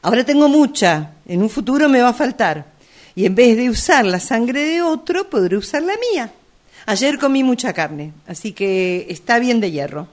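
A woman with an Argentine accent playing an earnest, stubborn, absurd customer in an amateur radio play, speaking haltingly. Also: fairly high pitch, sing-song, a little mellow. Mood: hesitant, earnest. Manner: hesitant, halting delivery.

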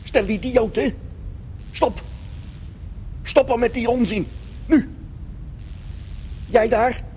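A man's voice: extremely high pitch, slow, a little mellow, slight background noise. A man playing a practical, down-to-earth space engineer in a radio play, speaking in a colloquial way. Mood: angry, commanding. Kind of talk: amateur science fiction radio play acting.

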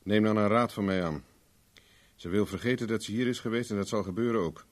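Male actor for a hard-nosed detective in vintage radio drama: lowish voice, commanding, coolly sardonic. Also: brisk, close to an everyday voice. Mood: cold threat. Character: tough, relentless male private investigator, interrogating coolly. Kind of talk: 1970s Dutch radio drama acting.

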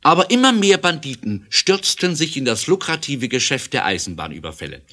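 A man's voice: mid-range voice, big pitch swings, brisk, bright, forceful tone. A man narrating an adventure audio drama in an emphatic, theatrical way.

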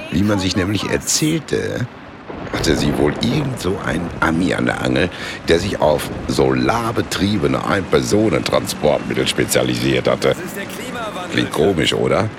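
Very deep, gravelly Ruhr storyteller. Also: male voice, background noise. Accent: Ruhr area German. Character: chatty, wry local man telling the town's story. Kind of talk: colloquial radio play narration.

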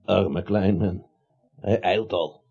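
Very husky, puzzled placating vigilante. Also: male voice, sits low, steady tone, takes it slowly, warm tone, full character voice. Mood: soothing, pitying. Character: placating, slow-witted male member of a vigilante group. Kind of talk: absurd comic radio play acting. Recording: dull lo-fi radio recording.

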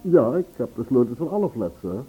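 An actor playing a dutiful, deferential building porter in a Dutch radio play, polite and servile. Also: male voice, mid-range voice, very animated, fairly quick, soft background music, close to an everyday voice. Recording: tinny narrow old radio recording.

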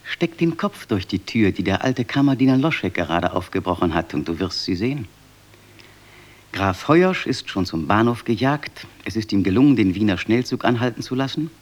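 A knowing narrator in a radio drama. His voice sits fairly low and moves with very lively intonation. He tells things gravely and with emphasis. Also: moderate pace. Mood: grave, urgent. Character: grave male narrator-witness of a royal tragedy, knowing.

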